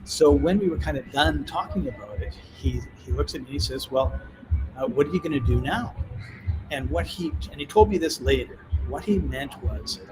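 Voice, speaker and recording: A man with a Canadian accent, a podcast host, telling a travel anecdote in lively conversation and acting out the people he quotes. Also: on the high side, rough recording. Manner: animated anecdotal telling, acts out quoted voices. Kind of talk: casual storytelling in conversation. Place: on a call from abroad. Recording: clear music playing behind.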